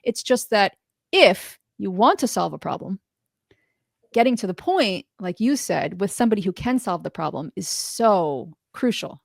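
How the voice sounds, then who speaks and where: A woman hosts a relaxed interview in a mid-range voice, with a dry, close sound and no room in it. She talks in an animated way, nodding along and playing out little quoted lines. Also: medium pitch, moderate pace, vocal fry, no room sound. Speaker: podcast host. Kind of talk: casual interview chat.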